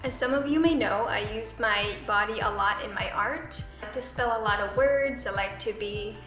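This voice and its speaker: A woman in her twenties from the Philippines, vlogging casually as an artist in her studio, chatty and relaxed with lots of "like" fillers. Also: mid-range voice, moderate pace. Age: 20s. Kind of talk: casual vlog chat. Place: art studio. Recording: echoey room with faint music.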